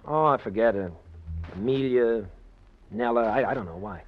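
A man's voice: medium pitch, moderate pace, close to an everyday voice. A man playing a withdrawn, troubled husband back from war in an old-time radio drama, terse and evasive. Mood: guarded, evasive. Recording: dull, noisy old radio recording.

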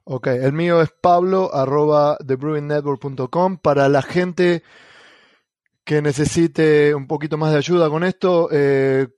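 A man's voice: on the high side, steady tone, unhurried, a little mellow, no room sound. A man from Argentina with an Argentine Spanish accent, co-hosting a casual podcast chat with many fillers and restarts. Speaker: podcast co-host.

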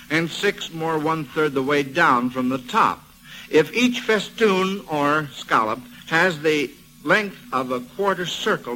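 A man's voice: on the high side, sharp tone, poor audio. An American man hosting a 1940s children's radio quiz, reading questions and encouraging the contestants. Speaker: quiz show host.

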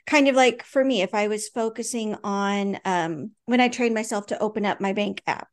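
A woman's voice: mid-range voice, moderate pace. A woman co-hosting a faith podcast in casual conversation, earnest and storytelling, with fillers and restarts. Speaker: podcast co-host.

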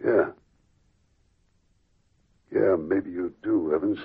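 A muffled old radio recording holds a hot-tempered, vengeful gangster. The voice is deep and extremely gravel-rough, with menace and suspicion in the delivery. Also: male voice, takes it slowly, heavily acted. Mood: suspicious. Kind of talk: old-time radio drama acting.

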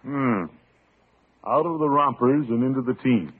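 An actor playing a pompous, doting middle-aged uncle in an old radio sitcom, chuckling and blustering along in a self-satisfied way. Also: male voice, mid-range voice, measured pace, close to an everyday voice. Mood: uneasy. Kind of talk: 1940s-50s radio sitcom acting. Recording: tinny, hissy old radio recording.

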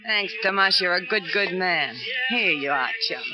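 An actress playing a brassy, cynical fortune-teller with a tough, slangy, taunting manner in an old radio crime drama. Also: female voice, on the low side, normal speed, close to an everyday voice. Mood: sly, guarded. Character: brassy, cigar-smoking female fortune-teller and potion seller, cynical. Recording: noisy old radio broadcast recording.

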